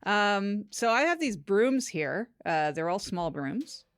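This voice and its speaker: A woman playing an awkward, unfiltered broom salesperson in improvised comedy, rambling haltingly in a matter-of-fact way. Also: mid-range voice, big pitch swings, dry recording, clean studio sound. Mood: awkward, casual. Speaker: product demonstrator on a comedy podcast.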